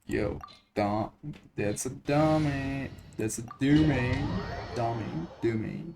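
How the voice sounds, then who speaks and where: A man giving casual gameplay commentary as a streamer, talking in a halting, repetitive mutter. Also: mid-range voice, gravelly. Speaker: game streamer. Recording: game music behind the voice.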